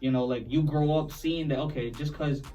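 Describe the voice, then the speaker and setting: Dude w a slight new york accent, chill and reflective, lots of ums, a bit far off mic. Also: male voice, on the high side, fairly even intonation, brisk, dark tone, soft background music. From born overseas, raised in the US. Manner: easygoing, reflective, uses lots of fillers. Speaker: podcast panelist. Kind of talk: casual panel discussion.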